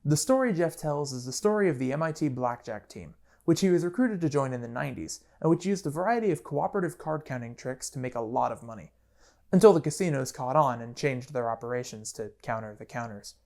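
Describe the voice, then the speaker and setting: A man's voice: mid-range voice, expressive, fairly quick, creaky, a little mellow. A man narrating a scripted video essay in a wry, casual storytelling manner, with dramatic touches. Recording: music playing behind voice.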